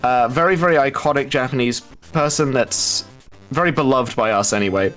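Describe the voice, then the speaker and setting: A man's voice: medium pitch, normal speed, a bit bright, soft background music, rough recording. A man commentating a live gaming race, explaining things hesitantly with dry, understated humour.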